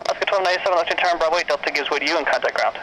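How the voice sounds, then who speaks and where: A man's voice: on the high side, very fast, a crowd in the background. A man working as an air traffic controller, giving clipped procedural instructions over a noisy aviation radio. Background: aircraft and radio noise.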